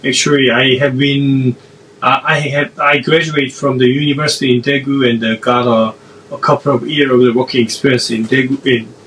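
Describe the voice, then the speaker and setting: A man from South Korea with a Korean accent, a podcast guest answering in a halting way and often restarting his phrases. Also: medium pitch, little pitch movement, fairly bright tone, recorded from a distance, slight background noise, rough recording. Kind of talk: casual interview answers.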